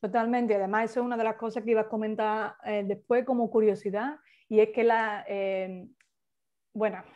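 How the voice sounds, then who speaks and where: A woman's voice: mid-range voice, fairly even intonation, moderate pace, dark tone, muffled recording. A woman leading a workshop, explaining at length in an informal way, with many hesitations and restarts, over a video call. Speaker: workshop facilitator.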